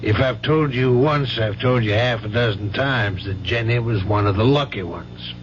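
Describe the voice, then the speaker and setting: A man's voice: mid-range voice, moderate pace, lightly acted. An actor playing a firm, kindly, plain-spoken country family doctor in a 1970s radio drama, with folksy, fatherly emphasis. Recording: muffled, noisy old radio broadcast with hum.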